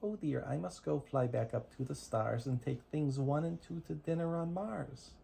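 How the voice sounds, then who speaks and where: A parent reading rhyming picture books aloud to a toddler in a deliberate sing-song rhythm, in a home recording. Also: medium pitch, gravelly, dark tone. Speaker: parent reading bedtime stories. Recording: noisy amateur home recording.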